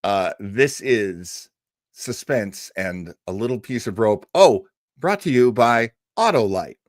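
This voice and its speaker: A man hosts a vintage radio show in a casual, theatrical way. His pitch moves around a lot, full of animated emphasis and joking asides, and the sound is close and dry. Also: slow, dry recording. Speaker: show host. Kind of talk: casual live commentary introducing old radio.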